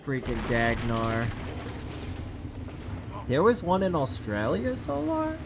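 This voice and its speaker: A young man, hoarse and losing his voice, chatting casually with viewers while streaming a game. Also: medium pitch, wildly swooping pitch, takes it slowly, over music. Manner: chatty, talks to viewers by name. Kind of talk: casual live-stream chat.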